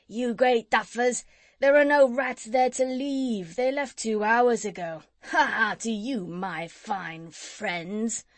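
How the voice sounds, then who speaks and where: A woman's voice: mid-range voice, steady tone, moderate pace, dry recording, natural voice. A woman voicing a brave, scrappy male dog who talks eagerly and scornfully about fighting.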